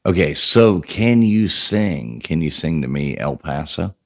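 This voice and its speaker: A man's voice: extremely low pitch, sing-song, measured pace. A man hosting a casual live chat, teasing his guests with jokes. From not from Texas. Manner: joking, teasing banter. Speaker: host.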